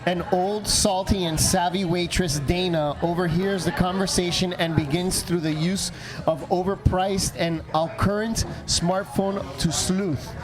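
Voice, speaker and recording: A man with a notably high voice narrates a comic radio play from a script. Crowd chatter and street sounds are clearly heard behind him. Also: steady tone, a little slow, low-quality recording.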